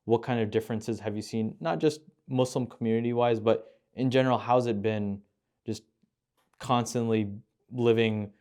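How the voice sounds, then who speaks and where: Smooth-voiced man asking winding questions. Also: medium pitch, steady tone, normal speed, a little mellow. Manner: thoughtful, asks long, winding questions with self-corrections. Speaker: podcast host. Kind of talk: casual conversational interview.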